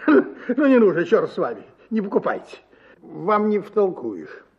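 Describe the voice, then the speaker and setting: An actor playing a tipsy, boastful middle-aged army officer in a radio story, effusive and theatrical, drawling and wheedling. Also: male voice, high voice, wildly swooping pitch, a little slow, breathy edge, heavily acted. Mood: huffy, resigned. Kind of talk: dramatized radio short story, partly sung. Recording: slightly muffled, guitar music behind.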